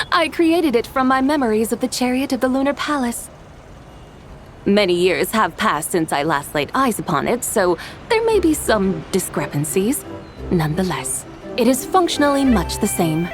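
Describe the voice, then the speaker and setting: A woman's voice: on the high side, very animated, moderate pace. A woman voicing a serene, learned, ancient celestial envoy in a video game, speaking in a formal, poised and instructive manner. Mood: gracious. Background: game music.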